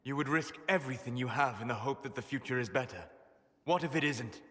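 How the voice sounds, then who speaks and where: Male actor as a learned elf mage, grave and measured, mid-pitched voice, long reverb tail on the game audio. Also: a bit bright, close to an everyday voice. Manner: measured, somber, lecturing.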